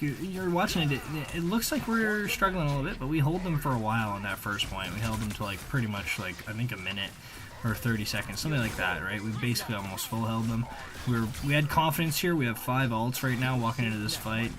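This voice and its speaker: A man giving casual, rambling voice-over commentary on his own video game play, using informal gamer slang. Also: lowish voice.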